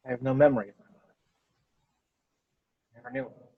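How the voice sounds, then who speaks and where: An earnest young hero, played by a man, calm and resolute, with a husky mid-range voice. It is a script table read heard through slightly muffled video-call audio with little room sound. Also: medium pitch, fairly quick, no room sound. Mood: pensive.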